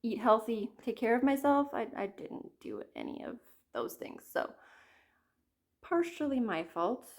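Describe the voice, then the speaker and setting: A woman in her thirties chatting casually about her cross-stitch projects, her voice a little croaky from a cold, rambling and apologizing often. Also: fairly high pitch, lively intonation, a little slow, a little mellow. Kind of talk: casual chat to camera.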